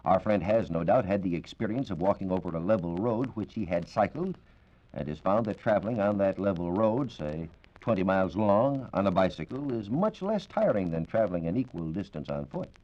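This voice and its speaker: Low-pitched, lively American man on old radio. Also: fairly quick. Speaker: science reporter. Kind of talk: 1940s radio science talk, explanatory. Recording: dull 1940s radio recording.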